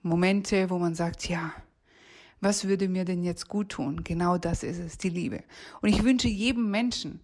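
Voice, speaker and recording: A woman's voice: on the low side, a little slow, darkish tone. A woman of forty-seven giving a personal, reflective monologue. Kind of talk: personal monologue about feelings.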